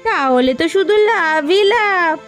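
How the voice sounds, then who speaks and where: Cartoon wife played by an actress: anxious, pushy, dramatic, very high register with light music underneath. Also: female voice, animated, unhurried, a bit bright, heavily acted. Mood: scheming, greedy. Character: village wife, nagging, insistent, anxious. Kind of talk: Bengali cartoon folk-tale voice acting.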